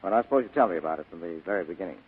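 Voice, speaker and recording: Fast, wisecracking private eye, tinny radio. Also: male voice, low-pitched, expressive, breathy edge, full character voice. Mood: businesslike. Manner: sardonic, evasive, clipped. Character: cool, wisecracking hard-boiled private detective. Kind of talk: 1940s radio drama acting. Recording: tinny band-limited vintage radio broadcast.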